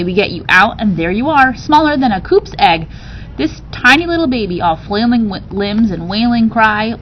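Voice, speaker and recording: Woman as animated country mama, slightly muffled recording. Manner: folksy, animated storytelling. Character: giggly country mother fondly retelling a birth tale. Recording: dull, noisy recording with rumble.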